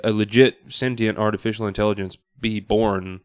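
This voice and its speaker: A man speaking casually as a guest on a podcast, halting now and then as he thinks aloud. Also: on the low side, steady tone, smooth, no echo, clean studio sound. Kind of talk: casual chat. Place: podcast studio.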